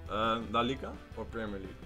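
A man's voice: fairly low pitch, steady tone, quick pace. A man in his twenties co-hosting with casual banter and short questions at a studio table. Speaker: co-host. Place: small indoor studio at a table. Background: music.

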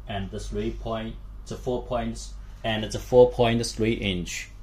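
Slow, halting man giving a demonstration. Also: on the low side, breathy edge. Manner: halting, broken into short phrases. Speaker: product demonstrator. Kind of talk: hands-on instructional demonstration. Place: small indoor room with a work table. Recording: steady background room noise.

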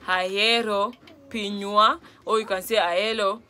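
A young woman with a Kenyan accent and Luo as her first language talks casually to camera. She explains things in a repetitive, chatty way, with frequent little checks for understanding. Her pitch sits in the middle for a woman. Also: fairly even intonation, normal speed, pushed and bright. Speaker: vlog host teaching phrases. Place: small room at home, on a couch.

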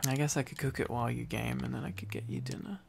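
A man's voice: medium pitch, fairly even intonation, fast, vocal fry, slight background noise. A man doing a boyfriend ASMR roleplay in a playful, teasing, affectionate way, rambling intimately as a needy, silly boyfriend close to the microphone.

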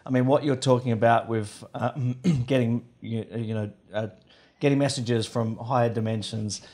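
A man's voice: mid-range voice, normal speed. A man in his forties hosting a podcast interview in a studio, talking loosely with many fillers. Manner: relaxed, rambling with fillers. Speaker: podcast host. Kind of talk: casual interview. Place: podcast studio with wooden wall and plants. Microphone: studio mic on boom arm.

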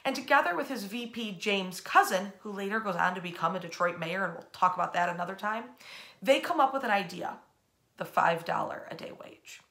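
Bright, animated American woman storyteller. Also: medium pitch, brisk. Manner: animated, emphatic, gesturing. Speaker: history storyteller and host. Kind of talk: informal history lesson told as storytelling. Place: bedroom, indoors.

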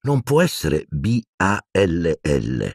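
A man's voice: low voice, huge pitch swings, slow pace, a little mellow, slightly rough, no echo, studio quality, full character voice. A man doing a grumbling teenage boy.

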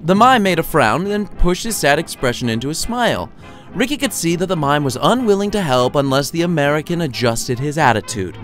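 A man's voice: a little high, sing-song, brisk, a bit bright, faint music behind. A man reading his own story as a wry, theatrical narrator. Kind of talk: lively scripted storytelling narration.

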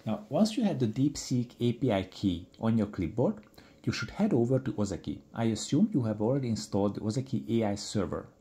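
A middle-aged man presenting a software tutorial in a deliberate, explanatory way. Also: fairly low pitch. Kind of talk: scripted software tutorial narration.

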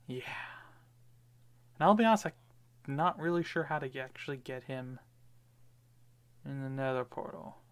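A man's voice: medium pitch, animated, moderate pace, long pauses, smooth. A man giving casual, rambling commentary over his gameplay, with lots of fillers. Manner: rambling, many fillers, upbeat. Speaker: gaming video creator.

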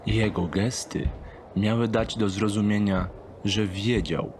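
Slow, low-voiced male audiobook narrator. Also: fairly even intonation, noisy background. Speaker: narrator of a dark thriller audio drama.

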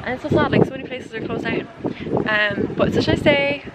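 A woman's voice: high-pitched, fast. A woman in her twenties with an Irish accent, vlogging casually and chatting with a giggly, self-deprecating manner. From Ireland. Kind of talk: casual vlog chat. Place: inside a car and out on town streets. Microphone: handheld camera at arm's length. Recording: loud road and street noise.

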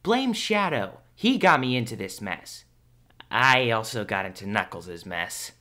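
A man's voice: mid-range voice, big pitch swings, a little slow, pushed and bright. A man voicing an anxious, persistent young hedgehog hero in a fan audio drama, earnest and pleading. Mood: uneasy.

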